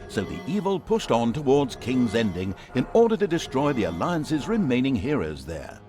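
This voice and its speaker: A theatrical fantasy game narrator, played by a man, wry and mock-grand. His mid-pitched voice rises and plunges very freely for comic drama, with music clearly behind it. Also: big pitch swings, moderate pace, close to an everyday voice. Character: wry, theatrical male storybook narrator of evil's conquests.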